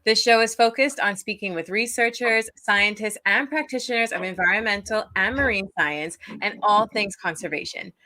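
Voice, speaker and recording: A woman's voice: mid-range voice, normal speed, few pauses, sharp tone. A woman podcast host giving an upbeat scripted welcome and asking interview questions.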